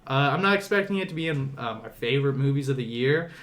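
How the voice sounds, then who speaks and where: A man's voice: medium pitch, animated, quick pace, a bit bright. A man hosting a casual podcast discussion about movies, leading the conversation. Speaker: podcast host.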